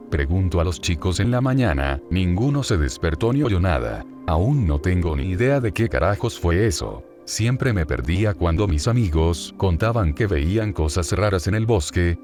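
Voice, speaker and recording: Deep-voiced man narrating horror stories. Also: darkish tone. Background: background music.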